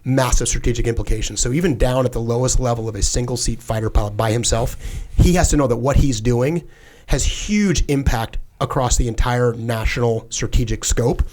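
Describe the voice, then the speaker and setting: A man's voice: medium pitch, brisk, darkish tone. A middle-aged man, a former fighter pilot, speaking as a podcast guest in an earnest, conversational way with lots of "like" fillers. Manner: earnest, with frequent "like" fillers and restarts. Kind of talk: casual conversational interview.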